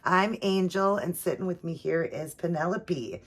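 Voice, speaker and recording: A middle-aged woman, hoarse and raspy from allergies, chatting casually as host of her own video channel with plenty of ums and you-knows. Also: on the low side, moderate pace. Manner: chatty with many fillers.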